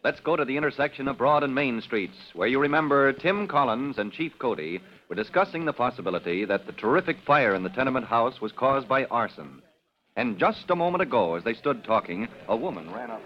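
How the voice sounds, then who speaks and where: A man's voice: mid-range voice, animated, a little fast, a little hiss or hum. A man announcing and narrating a 1940s radio drama in a declamatory style. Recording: dull old radio recording.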